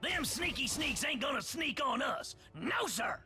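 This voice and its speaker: A man playing a crazed, cranky little redneck creature from a video game, with a raspy voice and a rural Southern American accent, belligerent and folksy. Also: extremely high pitch, animated, measured pace, soft background music, heavily acted. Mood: paranoid. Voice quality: raspy, gravelly shouting. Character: crazed cranky small yordle redneck, paranoid and bloodthirsty.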